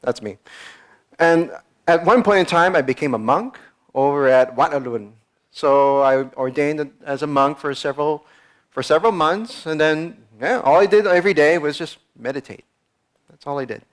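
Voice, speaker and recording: A man's voice: fairly high pitch, moderate pace. A man from the United States, a startup founder giving a conversational conference talk and acting out quoted voices. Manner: conversational, repeats words, acts out quoted voices.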